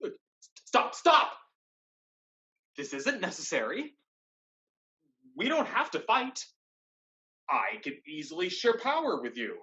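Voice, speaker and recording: A woman's voice: lowish voice, big pitch swings, takes it slowly, pauses often, pushed and bright, exaggerated voice. A woman playing an arrogant, scheming male pirate lord in a staged play reading, smug and theatrical. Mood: panicked.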